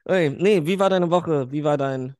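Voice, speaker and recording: A man's voice: a little high, moderate pace, darkish tone, no room sound, studio quality. A man co-hosting a casual podcast, joking, crude and laughing often. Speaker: podcast co-host. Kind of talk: casual chat.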